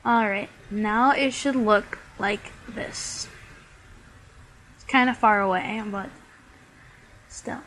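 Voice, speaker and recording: A woman's voice: mid-range voice, slow pace, long pauses, fairly bright tone, a little hiss or hum, poor audio. A young woman, stuffy from a cold, chattily talking through a bead craft tutorial step by step. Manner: chatty, many "like" fillers.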